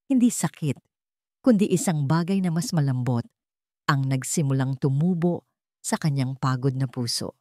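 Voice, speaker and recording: A woman with a somewhat low voice narrates a scripted romantic drama. She reads as a storyteller, in a dry recording with little room sound. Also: a little mellow, no echo.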